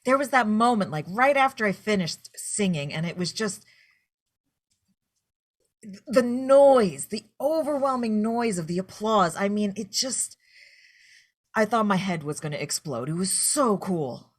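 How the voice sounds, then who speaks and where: A woman's voice: medium pitch, animated, a little slow. Woman as a gushing, eager pop newcomer. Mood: excited. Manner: gushing, breezy, casual. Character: thrilled, eager young pop group newcomer.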